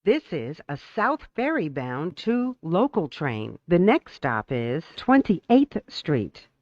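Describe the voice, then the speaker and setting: Subway announcer, really slow n formal, careful words, kinda muffled lo-fi audio. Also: medium pitch, sing-song, dry recording. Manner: measured, clearly enunciated, formal. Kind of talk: scripted automated transit announcements.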